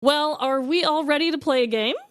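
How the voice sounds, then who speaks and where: A woman hosting a casual podcast chat, agreeable and quick to exclaim. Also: high voice, faint music behind. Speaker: podcast host.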